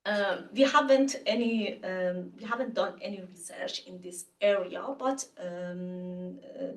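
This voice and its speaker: A woman's voice: fairly low pitch, fairly even intonation, measured pace, recorded from a distance. A woman whose first language is Farsi, a researcher answering audience questions after her talk, hesitant and full of fillers. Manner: hesitant, many fillers, searching for words. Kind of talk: academic Q&A. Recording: echoey room, voice from off-mic.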